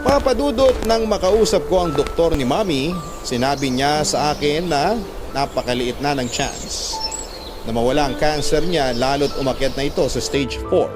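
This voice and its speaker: A man giving earnest, dramatic scripted narration of a personal story. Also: medium pitch. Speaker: narrator reading a letter sender's love story. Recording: loud music under the voice. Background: loud music.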